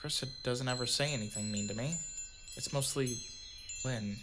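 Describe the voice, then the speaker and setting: A man playing a soft, eager teenage boy in an audio drama, with a mild, hesitant, conciliatory way of talking. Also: medium pitch, normal speed, noisy background, natural voice. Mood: hesitant, placating. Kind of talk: audio drama acting and narration.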